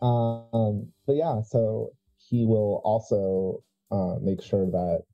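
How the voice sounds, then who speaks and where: A man's voice: lowish voice, steady tone, takes it slowly, dull audio, faint music behind. A man playing a tabletop roleplay game, casually improvising narration for his character.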